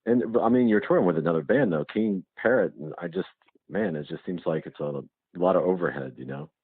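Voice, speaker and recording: A man's voice: deep voice, brisk, breathy edge, no echo. A man interviewing a musician over a muffled phone line in a casual, hesitant way, rambling through his questions.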